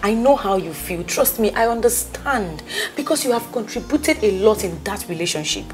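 A woman's voice: medium pitch, animated, moderate pace, music in the background, natural voice. A woman with a Nigerian accent acting a bossy, loyal young friend in a drama, speaking emphatically with Pidgin slang. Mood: firm, consoling. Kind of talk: naturalistic film drama acting.

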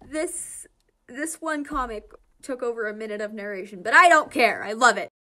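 A woman's voice: on the high side, expressive, normal speed, sharp tone. A young woman casually narrating and commenting on fan comics in a gushing, giggly, informal way.

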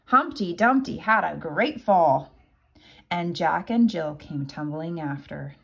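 A woman reads a children's picture book like a kindergarten teacher. Her pace is slow and deliberate. Her pitch moves in lively, expressive swings. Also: creaky, husky. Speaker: kindergarten teacher reading aloud.

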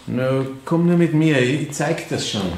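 A man's voice: fairly high pitch, a little slow. An actor playing a falsely friendly, predatory man with a Viennese accent, hesitant and coaxing, in a radio crime drama. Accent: Austrian, Viennese. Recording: roomy, echoing, faint noise.

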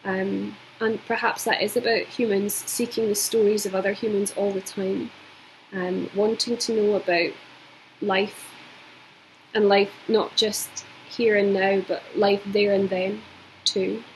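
A woman in her forties from Scotland with a Scottish accent, giving a measured, reflective recorded art lesson from home, with frequent ums. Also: mid-range voice, flat delivery, a little hiss or hum, poor audio. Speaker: art teacher. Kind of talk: recorded video lecture. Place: indoors at home.

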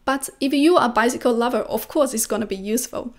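A young woman whose first language is Chinese hosts an instructional vlog. Her voice sits on the high side. She speaks to viewers into a close desk microphone in a room at home. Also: fast talker. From China. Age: young adult. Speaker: language-learning video host. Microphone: close desk microphone with pop filter.

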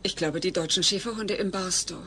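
An actress playing a worried, baffled wife in an audio play, anxious and questioning. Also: female voice, lowish voice, brisk, close to an everyday voice. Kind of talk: German audio play acting. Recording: noisy telephone scene on cassette.

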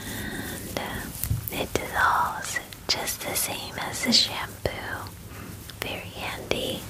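A woman in her twenties whispering softly and breathily in a gentle, casual, chatty ASMR roleplay, close to the microphone. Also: slow, poor audio. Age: 20s. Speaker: ASMR roleplayer playing a werewolf spa groomer. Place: small dim room indoors.

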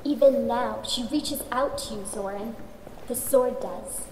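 A woman playing a young, solemn and mysterious dark elf, her words halting and set in long reverb. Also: on the high side, slight background noise. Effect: long reverb, remembered-voice echo. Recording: long reverb, loud background ambience.

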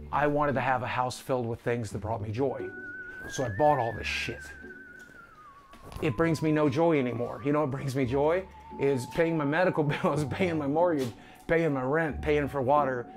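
A man's voice: medium pitch, sing-song, moderate pace. A middle-aged man talking casually and candidly to camera, in a self-deprecating way, as he shows people around a house. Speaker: online video creator giving a house tour. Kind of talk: casual talk to camera, walking through a house. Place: rooms of a house, indoors. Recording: music playing behind the voice. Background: background music.

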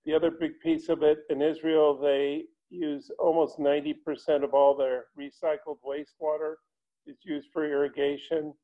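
An older man speaks from a porch over a video call, explaining steadily with frequent pauses. His voice is somewhat high and very flat in pitch, and the audio is dull and lo-fi. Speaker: panelist. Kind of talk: casual panel answer.

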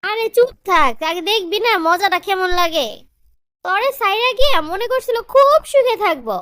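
Cartoon gossip pal woman actor: squeaky high, close and dry, Bangladeshi accent, eager and pushy. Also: expressive, normal speed, a bit bright, no room sound. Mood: pushy, amused. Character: excitable, bossy young female gossip, best friend. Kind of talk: comic cartoon dubbing.

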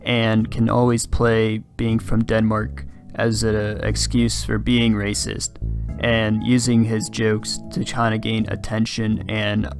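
A man narrating a scripted video essay in an even, measured commentary voice. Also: fairly low pitch, creaky. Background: background music.

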